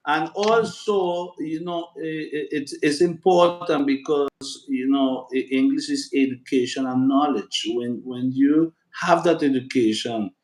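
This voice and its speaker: A man's voice: fairly high pitch, steady tone, unhurried, few pauses. Echoey man, chatty and earnest. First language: Spanish. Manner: earnest, repeats words and fillers often. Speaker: interview guest. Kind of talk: casual interview answers. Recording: echoey room with loud background noise.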